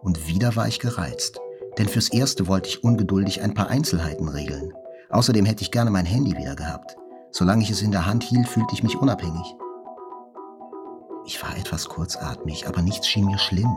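An actor playing a wounded journalist who recalls an attack in a measured, reflective, scripted narration. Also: male voice, deep voice, lightly acted. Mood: sober, reflective. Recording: prominent music under the narration.

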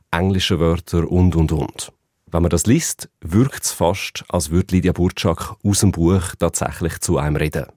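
A man with a Swiss German accent presenting a scripted radio feature in a measured, even way. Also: low voice, no room sound, studio quality. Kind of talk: scripted narration.

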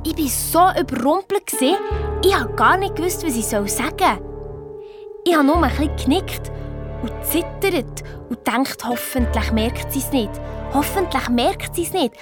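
A woman playing an anxious, excitable young girl detective with a Swiss German accent, telling her adventure in a children's radio play. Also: high voice, normal speed. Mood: anxious, excited. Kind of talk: first-person children's radio play narration. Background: music.

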